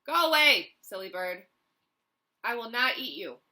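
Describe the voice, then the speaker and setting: A woman voicing a grumpy male dragon who lives in a cave, gruff and huffing as he bellows at visitors. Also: fairly high pitch, very animated, unhurried, sharp tone, pitched above the performer's own voice, full character voice.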